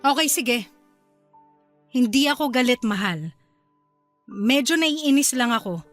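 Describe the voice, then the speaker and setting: A high, girlish-pitched young Filipino wife in a radio drama. She is resentful and sulky, swinging between terse replies and wounded pleading, with faint music underneath. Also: lively intonation, unhurried, dry recording. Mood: irritated.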